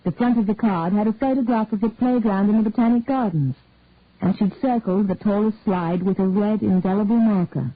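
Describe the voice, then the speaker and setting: A woman narrating a detective short story in a measured storytelling way. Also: medium pitch, no echo. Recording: narrow phone-like dry sound.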